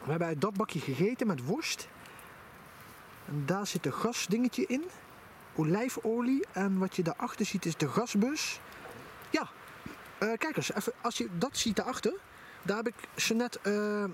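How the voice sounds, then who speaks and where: A man's voice: high-pitched, sing-song, a little slow, long pauses. A man giving enthusiastic, casual vlog commentary outdoors, recorded on a handheld camera. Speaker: vlogger. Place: outdoors in a forest at night by a campfire. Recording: noisy outdoor camera audio.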